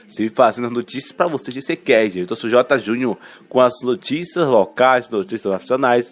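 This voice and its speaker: A man from Bahia, reading radio news headlines informally and haltingly, with self-corrections, colloquial asides and a slight cold. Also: mid-range voice. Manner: colloquial, halting, stumbles over words and self-corrects, tags remarks with questions. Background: music.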